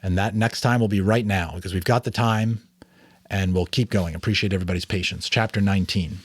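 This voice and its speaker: A man narrating a novel as a dramatic, expressive audiobook reading. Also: deep voice, a little fast, slightly rough, no echo. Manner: expressive, theatrical.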